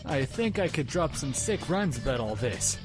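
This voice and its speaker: An actor playing a cool, cocky teenage amateur rapper with a laid-back, slangy manner in a web audio drama. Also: male voice, mid-range voice, big pitch swings, normal speed, music in the background, close to an everyday voice. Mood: nonchalant.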